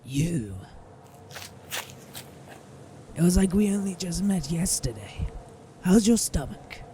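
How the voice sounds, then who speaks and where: A man's voice: high voice, sing-song, normal speed, mellow, a little hiss or hum. A man acting a tipsy, condescending, menacing gatekeeper boss in an audio drama. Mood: tipsy, teasing. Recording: music over the dialogue.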